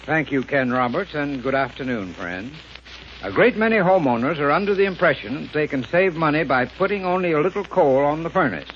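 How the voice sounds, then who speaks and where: A man's voice: a little high, animated, a little fast. A man giving formal, instructive expert advice in a scripted 1930s radio commercial. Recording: muffled 1930s radio recording with hiss.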